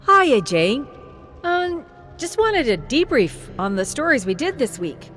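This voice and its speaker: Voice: high voice, sing-song, a little slow, fairly bright tone, faint music behind, voice pushed up, exaggerated voice. A narrator playing an awkward, earnest male television veteran who speaks hesitantly and sincerely in a dramatic story. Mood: awkward, friendly.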